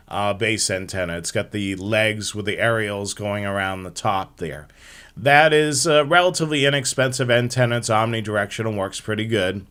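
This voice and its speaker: A man's voice: mid-range voice, fairly bright tone. A man hosting a live hobby show, explaining things step by step in an informal slideshow presentation.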